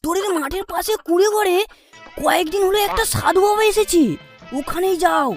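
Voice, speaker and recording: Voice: extremely high pitch, brisk. A performer voicing a gossipy, helpful cartoon friend who urges advice in a matter-of-fact way. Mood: advising. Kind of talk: comedy cartoon dubbing. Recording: music behind the voice.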